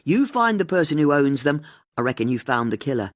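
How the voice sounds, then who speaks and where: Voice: mid-range voice, big pitch swings, brisk, natural voice. An audiobook narrator voicing a cheeky, blunt woman amateur sleuth who blurts things out informally. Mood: pleased. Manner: blurting, informal, unconvincing when fibbing.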